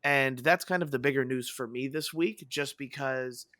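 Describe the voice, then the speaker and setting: A man hosting a casual gaming podcast, his voice soft and hoarse from having lost it, rambling enthusiastically with fillers. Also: mid-range voice, moderate pace, dry recording, clean studio sound. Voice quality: soft, breathy. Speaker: podcast host.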